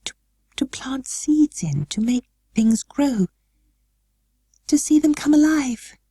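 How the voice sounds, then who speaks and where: Woman voicing a timid little girl, high and tremulous. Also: animated, slow pace, warm tone, no echo, clean studio sound, heavily acted. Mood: timid, pleading. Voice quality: tremulous, breathy when nervous. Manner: hesitant, pleading. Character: timid, earnest little girl, eager and anxious.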